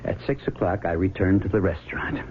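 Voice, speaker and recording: An actor playing a mild, persistent small-town sheriff with an American accent and a hesitant, folksy manner in a radio drama. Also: male voice, low-pitched, steady tone, fast talker, slight background noise, natural voice. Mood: formal testimony. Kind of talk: 1970s radio drama acting. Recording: muffled vintage radio, music behind.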